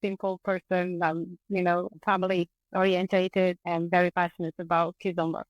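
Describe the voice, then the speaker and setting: Angolan-born woman, middle-aged guest, smooth clear voice, dry close mic. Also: lowish voice, flat delivery, normal speed, no room sound, highs cut off. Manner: warm, restarts phrases often, laughs easily. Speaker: guest, dance teacher. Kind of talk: casual podcast interview.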